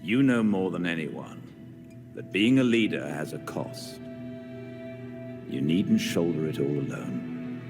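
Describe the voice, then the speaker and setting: An actor playing a refined, measured older butler and gentle mentor in a video game cutscene. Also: male voice, low-pitched, sing-song, gravelly, music in the background, heavily acted. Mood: gently chiding. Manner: formal, measured.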